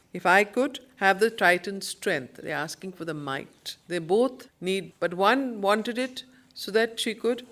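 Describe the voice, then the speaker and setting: A woman teacher at a study circle, reciting poetry solemnly and explaining it with deliberate, emphatic phrasing. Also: mid-range voice. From India.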